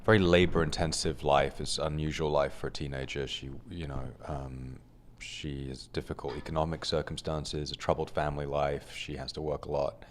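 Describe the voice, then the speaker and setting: A man, the film's director, summing up the story in a hesitant way with many pauses. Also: very deep voice, level tone, a little hiss or hum. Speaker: film director. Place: film festival studio.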